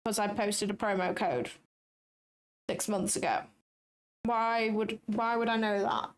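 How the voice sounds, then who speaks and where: A woman's voice: mid-range voice, fairly even intonation, measured pace. A young adult woman chatting casually on a trading livestream, blunt and sarcastic, swearing freely.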